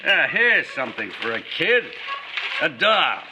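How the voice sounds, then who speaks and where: Jumpy, shifty man, swooping pitch. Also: big pitch swings. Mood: coaxing, uneasy. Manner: stammering, evasive, halting. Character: shifty, nervous adult neighbor man hiding a guilty secret. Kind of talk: old-time radio suspense acting. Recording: vintage radio recording, light noise and clicks.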